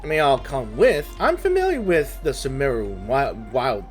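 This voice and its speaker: A man giving casual gaming commentary, musing to viewers in a halting, conversational way. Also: medium pitch, huge pitch swings, faint music behind. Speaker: gaming streamer.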